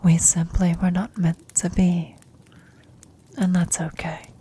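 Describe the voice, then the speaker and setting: A woman playing a tender, serene elderly former adventurer on her deathbed in an ASMR roleplay, speaking in a reflective, breathy whisper. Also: lowish voice, flat delivery, slow pace, dark tone, full character voice. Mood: proud, loving.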